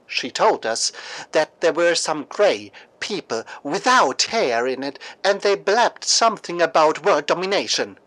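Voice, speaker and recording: A man narrating scripted radio drama and mock news as an anchor, in a theatrical, deliberate storytelling manner. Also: on the high side, lively intonation, fairly bright tone, rough recording.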